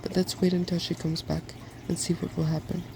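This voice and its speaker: A man voicing a solemn, hopeful, meek dragon in a soft-spoken ASMR storybook reading, earnest and polite. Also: on the high side, flat delivery, brisk, creaky, background noise.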